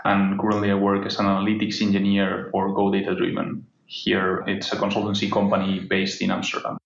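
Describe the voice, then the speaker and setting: A man's voice: fairly low pitch, completely flat delivery, brisk, creaky. A man from Spain, a guest talking casually about his work and thinking aloud as he answers. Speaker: analytics engineer guest. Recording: roomy, dull remote audio.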